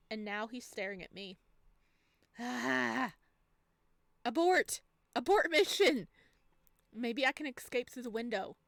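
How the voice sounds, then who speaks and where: A woman reading a video game's text aloud and chatting with expressive, excited reactions as she plays. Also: a little high, vocal fry, dry recording. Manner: chatty, expressive asides between read lines. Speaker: video game streamer, let's player. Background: game music.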